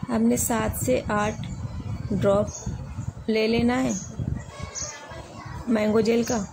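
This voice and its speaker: A woman talks through a home cake recipe in a rather high, flat and even voice, moving calmly from one step to the next. She is recorded on a camera over a kitchen counter, and steady room noise sits clearly behind her. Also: extremely slow. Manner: calm step-by-step instructions. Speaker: home baker. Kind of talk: cooking tutorial narration.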